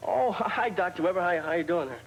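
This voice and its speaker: A man's voice: sits high, animated, fast, a little hiss or hum, rough recording. An actor playing a friendly, sheepish man in a television soap, with casual, sometimes stammering delivery. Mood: flustered. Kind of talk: television soap opera acting.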